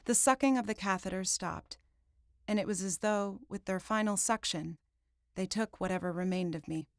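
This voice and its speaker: A woman reading first-person fiction as an audiobook narrator, in a dramatic, deliberate literary style. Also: mid-range voice, no echo, studio quality.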